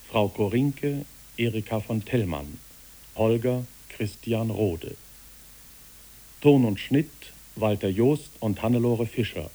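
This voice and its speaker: A man reading out radio play credits as a formal, measured announcer in a 1960s broadcast style. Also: fairly low pitch, dark tone.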